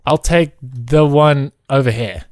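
A man casually commentating on a game he is playing, excitable and given to exclamations. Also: mid-range voice, takes it slowly, dry recording, studio quality. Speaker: gamer playing a co-op roleplay map.

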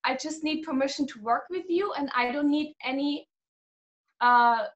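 A woman's voice: high-pitched, moderate pace, highs cut off. A woman in her thirties from Germany, a guest healer talking animatedly over a video call and laughing often. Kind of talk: casual video-call interview. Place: small room at home.

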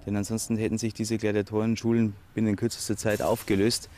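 A man's voice: lowish voice, level tone, quick pace, a little mellow, soft background music. A man speaking as an expert in a documentary interview, explaining and restating his points with emphasis.

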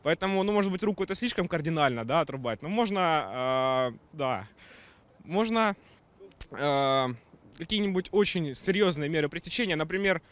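A man with a slightly high voice gives a street interviewer his blunt opinion. He hedges often with fillers. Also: animated, normal speed, fairly bright tone. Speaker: passerby. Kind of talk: street vox pop.

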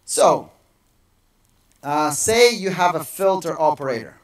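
A man's voice: a little high, lively intonation, slow, far from the mic. A man in his thirties giving a casual technical keynote on a large auditorium stage, with many fillers and restarts. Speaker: conference speaker.

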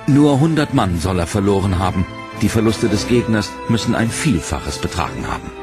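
A man giving scripted documentary narration in a measured, serious storytelling manner. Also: lowish voice, animated. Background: dramatic music.

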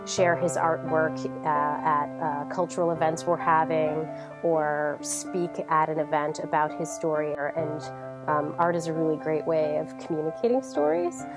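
A man who works at an immigrant center, calmly explaining its programs in a documentary interview. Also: on the high side, normal speed, over music.

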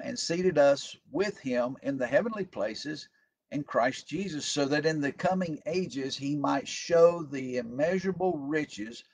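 An older male pastor with a gravelly edge and a slightly husky tone, speaking at an ordinary pitch. He preaches in an earnest, plain-spoken way, often restarting his words. He is recorded on a desk webcam in a room at home. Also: a little mellow. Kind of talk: recorded home devotional sermon. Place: home room with tall shelves, indoors. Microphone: webcam at desk distance.

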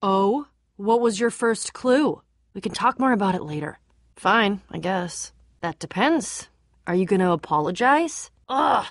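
A woman's voice: mid-range voice, animated, a little slow, no room sound, studio quality. An actress playing a tough, guarded young female adventurer in a video game, casual, candid and dryly sarcastic. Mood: irritated, teasing. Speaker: game voice actor. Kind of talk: video game character dialogue.

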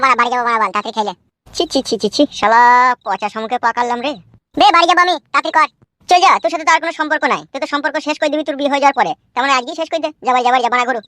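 Man voicing a shrill, brash young village guy. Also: pushed and bright, dry recording. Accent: rural West Bengal. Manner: brash, slangy bickering. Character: hot-headed, foul-mouthed young village man, eager to marry. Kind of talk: comic cartoon dubbing.

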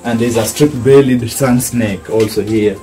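Young male tour guide, Kenyan accent, mellow tone, explains patiently with repetition, faint music behind. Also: mid-range voice, fairly even intonation, measured pace. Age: young adult. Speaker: snake park guide. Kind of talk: informal guided tour talk. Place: open-sided snake park shelter with mesh walls.

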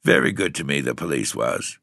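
A man voicing a frail, husky eighteen-year-old runaway tramp boy with a cocky, bitter, streetwise way of talking. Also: extremely low pitch, very animated, a little fast, pushed and bright, no echo, studio quality, full character voice. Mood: defiant, streetwise. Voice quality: husky, hoarse.

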